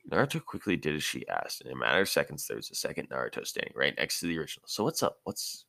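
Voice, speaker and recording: A man's voice: extremely low pitch, lively intonation, racing, gravelly, pushed and bright, no echo, studio quality. A man narrating fan fiction as an amateur audiobook, sometimes stumbling and rereading phrases.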